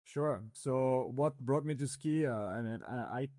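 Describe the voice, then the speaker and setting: A man's voice: mid-range voice, unhurried, mellow, no room sound, studio quality. A man from northern Italy, raised in Switzerland, whose first language is Italian, talking through a casual interview with many fillers and restarts. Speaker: ski builder guest.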